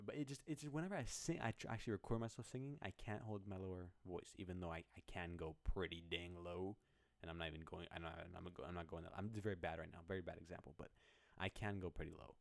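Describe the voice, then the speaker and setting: A man with a very low voice talks quickly and casually about his own song. He rambles, restarts his sentences and pokes fun at himself. The pitch stays low throughout. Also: creaky. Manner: rambling, self-deprecating, many restarts. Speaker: musician explaining his song. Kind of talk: casual walkthrough of a project file.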